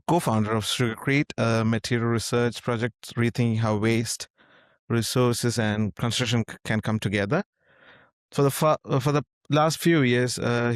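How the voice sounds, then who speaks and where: A man's voice: medium pitch, dry recording. Young male podcast host, dry mic. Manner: friendly, informal, frequent fillers. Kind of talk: casual interview hosting.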